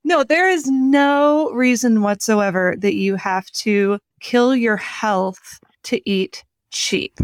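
Higher-voiced, articulate woman co-host. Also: slow pace, hardly any pauses, smooth, dry recording, clean studio sound. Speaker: podcast co-host. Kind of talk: casual chat with practical tips.

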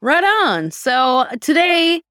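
A woman's voice: sits high, sing-song, slow, fairly bright tone, smooth, no room sound. A woman podcast host chats casually from home. She rambles, restarts, and pokes fun at herself with easy laughs. The recording is close and dry. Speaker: podcast co-host and sketch writer. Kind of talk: casual chat.

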